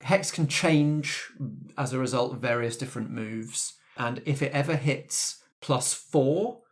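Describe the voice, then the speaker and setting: A man's voice: mid-range voice, a little slow. A man hosting as game master, introducing and explaining a tabletop game to players. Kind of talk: actual-play podcast hosting, explaining game rules.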